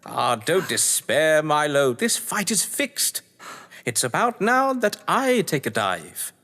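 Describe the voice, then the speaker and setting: Older British gentleman villain, played by a male actor: high-pitched, florid, scheming, audio-drama delivery, echoey hall. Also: very animated, slow, full character voice. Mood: suave, scheming. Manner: florid, pompous, sardonic. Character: theatrical, scheming upper-class male crime novelist and manor host. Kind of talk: stage-play audio drama acting.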